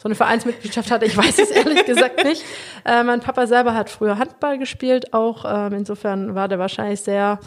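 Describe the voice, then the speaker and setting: Chatty woman in her thirties, higher voice. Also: fairly quick. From Germany. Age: 30s. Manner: chatty, laughs often, long storytelling turns. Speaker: guest. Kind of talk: casual interview chat.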